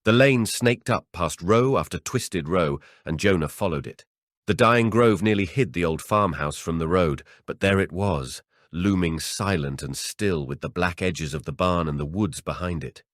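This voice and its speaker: A man with a low, deep voice narrates a horror story. The recording is dry and close, with hardly any room in it. He reads as an audiobook narrator from a written script. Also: normal speed, no room sound.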